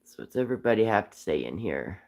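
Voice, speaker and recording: A man with a cold and a raspy voice, chatting casually on a live stream while whittling wood, rambling with frequent ums. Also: fairly low pitch, big pitch swings, quick pace, darkish tone. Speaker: livestream host whittling wood.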